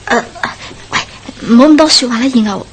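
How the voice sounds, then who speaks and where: Nervy, nagging village woman for Hong Kong Cantonese radio horror, female actor: highish voice, impatient, light ambient noise. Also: fairly quick, natural voice. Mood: uneasy, fretful. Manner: fretful, nagging.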